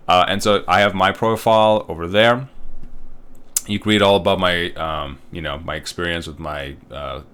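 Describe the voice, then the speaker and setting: Male college instructor, thirties, from Uzbekistan; low voice, loose and chatty delivery with plenty of fillers. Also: steady tone, normal speed, a bit bright, smooth. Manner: casual, rambling, with many fillers and tag questions. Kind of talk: recorded online course walkthrough.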